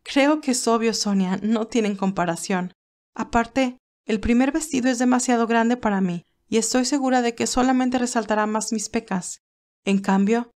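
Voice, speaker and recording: A woman's voice: on the high side, a little mellow, natural voice. A woman voicing a spirited, confident young lady in a romance story.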